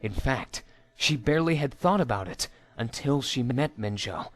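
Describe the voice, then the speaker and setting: A man's voice: mid-range voice, animated, normal speed, no echo. A man narrates a written story in a mid-range voice. His delivery is theatrical and dramatic, like an amateur storyteller performing the text as he reads it aloud.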